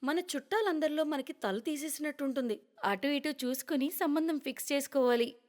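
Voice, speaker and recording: Woman acting young married schemer, high voice, disdainful, insistent, cartoon drama. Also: brisk, darkish tone, natural voice. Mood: indignant. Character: scheming, snobbish young married daughter-in-law. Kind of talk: cartoon moral story acting.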